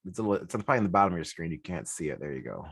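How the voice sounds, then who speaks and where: A man with a very deep voice hosts a video call, calmly walking his guest through muting and sharing. The sound is dry and close. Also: very fast, slightly rough, no echo. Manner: easygoing, gives friendly instructions. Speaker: gallery host. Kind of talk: hosting a virtual presentation.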